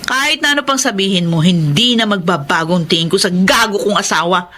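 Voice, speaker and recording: A woman's voice: mid-range voice, brisk, bright, forceful tone. A woman with a Filipino accent playing a jealous, combative betrayed wife in a melodramatic radio drama, scornful and accusing. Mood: bitter, furious. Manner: scornful, confrontational.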